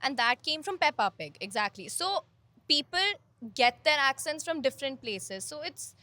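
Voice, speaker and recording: A woman's voice: high-pitched, very animated, moderate pace, sharp tone, dry recording. A young woman, casually telling a short anecdote in a group chat. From India. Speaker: podcast guest.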